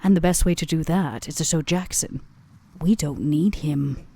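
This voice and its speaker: A woman playing a shrewd, commanding, elegant vampire schemer in a tabletop game, speaking in formal, deliberate, emphatic phrases. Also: deep voice, warm tone. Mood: assured, scheming.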